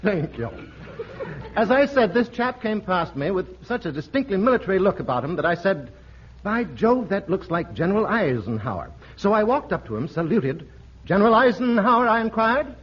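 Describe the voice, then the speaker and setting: A fussy, self-important gentleman of old radio comedy, high in pitch and swooping widely. His diction is formal and deliberate, with impeccable old-fashioned manners. The recording is dull, with a faint layer of noise. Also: sing-song, slightly rough, exaggerated voice. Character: pompous, fussy, well-mannered gentleman telling pointless anecdotes. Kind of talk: 1940s radio comedy acting.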